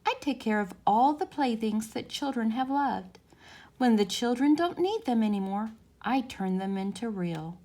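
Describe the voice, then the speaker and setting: Woman as a gentle, calm storybook fairy. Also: a little high, normal speed. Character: gentle nursery magic fairy, kindly and reassuring.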